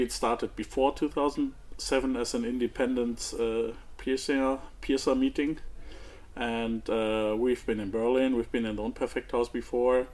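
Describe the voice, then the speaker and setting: A man's voice: medium pitch, darkish tone. A man guesting on a podcast as a conference organizer, easygoing and a little hesitant. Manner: easygoing, laughs often, hesitant. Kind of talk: casual interview.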